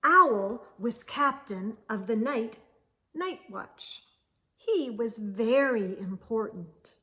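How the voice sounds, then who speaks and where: A woman hosting children's storytime, reading a picture book aloud expressively with deliberate, drawn-out phrasing. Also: a little high, lively intonation, bright, forceful tone. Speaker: children's storytime host and reader.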